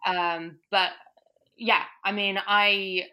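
Bright-voiced woman, chatty interview guest. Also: mid-range voice, little pitch movement, drawn-out words. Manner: thoughtful, many restarts and fillers. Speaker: language course provider, guest. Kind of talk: casual interview chat.

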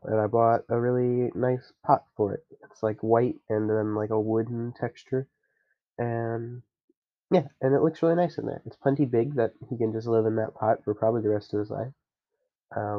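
A man's voice: lowish voice, steady tone, normal speed, phone-quality sound. A young man with a stuffy nose, chatting casually and rambling with lots of fillers as a podcast host. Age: young adult. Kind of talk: casual solo chat.

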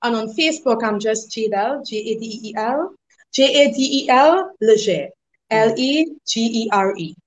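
A young Trinidadian woman with a high voice talks easily as a guest on an interview show. She laughs often and keeps dropping "like" and "you know" as she explains things. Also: expressive, normal speed, slight background noise, rough recording. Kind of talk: casual interview chat.

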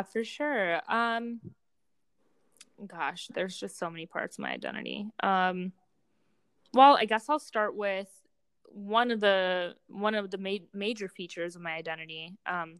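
A woman's voice: mid-range voice, unhurried, fairly bright tone, smooth, no room sound. A young adult woman from Romania co-hosting a casual, reflective podcast conversation, hesitating as she searches for words. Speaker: podcast co-host, doctoral student in psychology.

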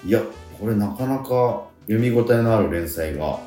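A man's voice: on the low side, lively intonation, normal speed, dark tone. A middle-aged man hosting a radio show in casual chat, sometimes groping for words. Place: roomy indoor space at a theatre. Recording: roomy sound with faint music behind.

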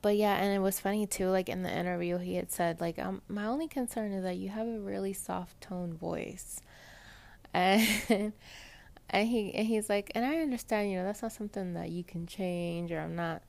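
A young woman with a soft, pleasant voice chatting casually and rambling in a personal podcast monologue, full of fillers. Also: mid-range voice, fairly even intonation, moderate pace, vocal fry. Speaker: podcast host.

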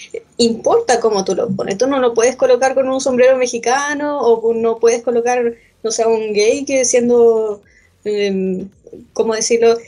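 A woman podcast guest giving a measured, explanatory opinion in a discussion. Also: a little high, a little mellow. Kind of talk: thoughtful discussion.

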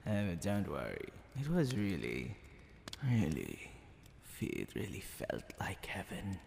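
A man voicing a teasing, seductive and smug demon king in an ASMR roleplay, drawling theatrically with gravelly tones and whispered asides. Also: low voice, wildly swooping pitch, slow pace, darkish tone, full character voice. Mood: gloating, teasing. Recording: long reverb, faint background noise.

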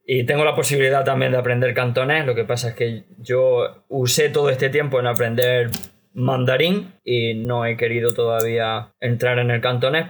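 A man speaks in a measured, explanatory way and often restarts his sentences. His pitch sits in the ordinary middle range for a man, and he explains his views at length. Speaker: podcast co-host living in China. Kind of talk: casual panel discussion.

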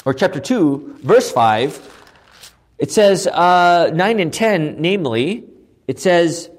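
A man with a hoarse voice teaching a Bible passage, asking questions and answering them himself. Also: fairly high pitch, lively intonation, slow pace. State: losing his voice, hoarse. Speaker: pastor teaching a Bible study.

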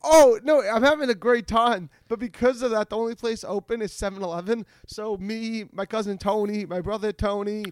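High-voiced excitable male podcast host. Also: moderate pace, few pauses, dry recording. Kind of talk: comedic podcast banter. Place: remote video call.

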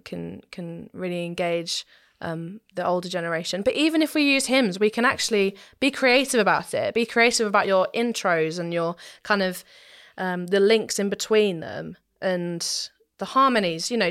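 A woman's voice: medium pitch, normal speed, a bit bright, no echo, studio quality. A woman speaking conversationally as an interview guest, explaining things in a thoughtful way. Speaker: interview guest, church musician and singer.

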